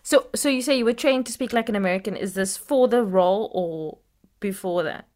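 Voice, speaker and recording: A woman's voice: mid-range voice, a little fast, a little mellow. Woman from Africa, interviewer, chatty, says "like" a lot. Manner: chatty, with filler "like". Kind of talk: interview questions.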